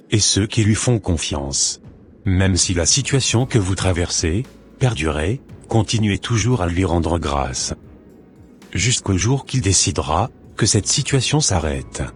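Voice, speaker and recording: Deep-voiced church elder preaching in a cartoon, calm and admonishing, a man voicing him. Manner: slow, sermonizing, gently admonishing.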